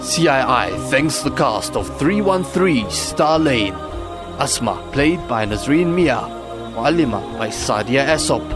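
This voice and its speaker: A man reading scripted end credits as an announcer for a drama. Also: fairly high pitch.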